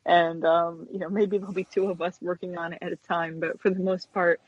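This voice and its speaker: A woman's voice: on the low side, level tone, a little fast, slightly rough, no echo, lo-fi sound. An American woman chatting casually as an interview guest, telling anecdotes in a hesitant, rambling way peppered with "you know". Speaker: guest, stop-motion puppet animator.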